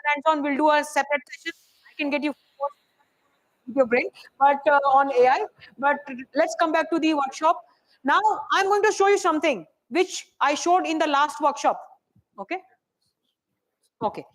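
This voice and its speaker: A soft-spoken woman with an Indian English accent, teaching a hands-on AI workshop and checking in with the room often. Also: high-pitched, normal speed, long pauses, poor audio. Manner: chatty, checks in often with "right?" and "okay?". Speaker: AI workshop presenter.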